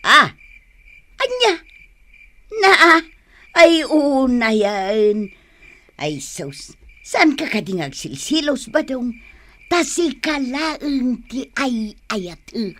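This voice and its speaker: Feisty village wife: high, swooping voice, drawn-out delivery, scolding exclamations, comedy radio drama. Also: female voice, very animated, a bit bright, heavily acted. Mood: shocked, indignant.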